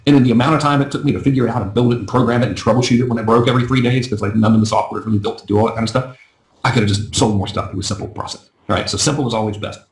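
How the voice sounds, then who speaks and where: A man coaching a live webinar in a chatty, self-deprecating way, his voice going a little hoarse. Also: medium pitch, steady tone, roomy sound, rough recording. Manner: fast, chatty, self-deprecating, often asks "right?". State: losing his voice. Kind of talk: casual live webinar teaching.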